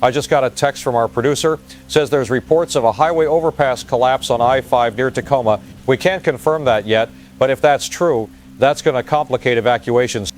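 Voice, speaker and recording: A man's voice: mid-range voice, fairly quick, few pauses, faint music behind, lightly acted. A man playing a shaken field reporter giving a hesitant, vivid eyewitness disaster dispatch in an audio drama. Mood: alarmed.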